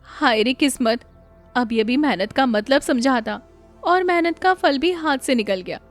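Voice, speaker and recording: Woman playing gullible village donkey owner, excitable, exclamatory, stammering, raised lively pitch, cartoon moral tale, music behind. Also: a little slow. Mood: wailing. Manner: exclamatory, stammering when shocked. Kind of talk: animated moral story voice acting.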